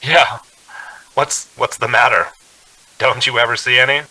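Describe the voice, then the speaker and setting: A man's voice: mid-range voice, fairly even intonation, measured pace, bright, forceful tone, low-quality recording. An actor playing an uneasy young man on a road trip in a suspense radio drama. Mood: nervous, hesitant. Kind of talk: radio drama acting, first-person suspense narration.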